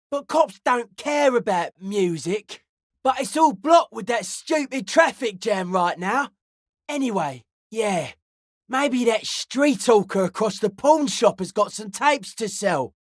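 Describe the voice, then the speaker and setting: A young hardcore raver from a video game, pitched high and recorded close and dry. He is intense and anti-authority, preaching in street slang as if every thought were a grand philosophical truth. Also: no room sound, exaggerated voice. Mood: blunt, musing. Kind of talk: video game character dialogue.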